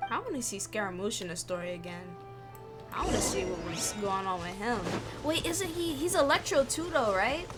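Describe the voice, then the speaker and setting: A woman game streamer with a mid-pitched voice reacts in a casual, offhand way. Her remarks are short and chatty, and loud game music plays behind her. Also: animated, normal speed. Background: video game music.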